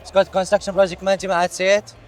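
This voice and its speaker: Hesitant Syrian man, high voice. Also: monotone, very fast, faint music behind. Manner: hesitant, many fillers and restarts, non-native English. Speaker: student. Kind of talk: casual interview answers.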